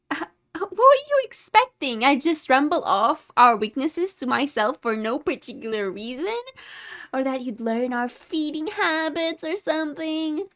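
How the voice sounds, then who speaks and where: A woman's voice: high voice, a bit bright, heavily acted. A woman playing a haughty, secretly caring tsundere vampire in a scripted ASMR roleplay, condescending and easily exasperated. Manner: condescending, exasperated, flustered scolding with sighs.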